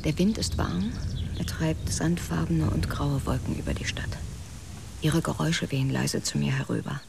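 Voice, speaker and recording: An actress playing an observant investigator in a German radio drama, with measured, probing speech. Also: female voice, deep voice, creaky, whispered. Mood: sober, observing. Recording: noisy outdoor background with birds.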